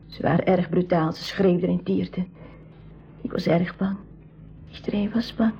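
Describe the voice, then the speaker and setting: A woman's voice: fairly low pitch, steady tone, normal speed, gravelly. A woman playing a shy, frightened seventeen-year-old refugee girl in a school radio play, hesitant and sparing with words. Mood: frightened, subdued. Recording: dull lo-fi 1980s radio recording.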